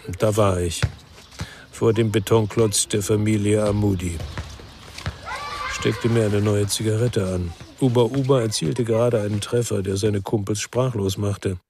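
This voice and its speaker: An actor playing a brooding former policeman who narrates his own crime story in a measured, terse way for radio drama. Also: on the low side, fairly even intonation, warm tone. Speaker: first-person narrator and lead actor.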